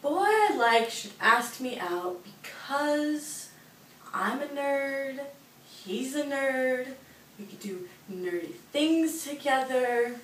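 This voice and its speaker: A woman's voice: high voice, animated, slow, a bit bright, slight background noise. A woman in her twenties from California, a college roommate talking playfully and boasting in jest in a student video. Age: 20s. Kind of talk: mock interview for a student video. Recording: echoey room, mic at a distance.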